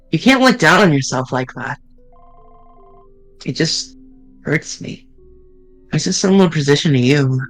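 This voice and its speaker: A man streaming, reading visual novel dialogue aloud in an earnest, halting way with small restarts. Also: mid-range voice, creaky, dry recording, low-quality recording.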